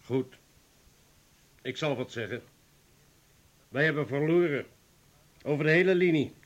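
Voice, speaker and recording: An actor playing a grave, resolute comrade burdened by guilt, speaking deliberately in a radio play. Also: male voice, on the high side, highs cut off, natural voice. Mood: grave, resigned.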